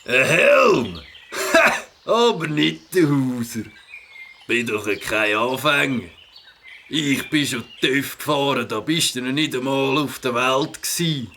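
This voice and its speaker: Gruff Swiss German biker bully for a kids' audio play: boastful, forceful, gravelly drawl. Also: male voice, mid-range voice, lively intonation, slow pace, a little hiss or hum, full character voice. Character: gruff, mocking adult rider of a rattly moped. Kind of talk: children's audio drama.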